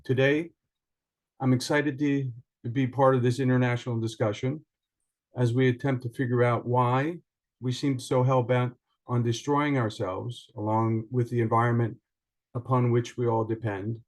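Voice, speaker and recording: A man from Iceland giving a prepared self-introduction in an online meeting, measured and articulate with formal wording. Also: medium pitch, little pitch movement. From Iceland, raised partly in other countries. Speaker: participant, journalist and writer. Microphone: online video call.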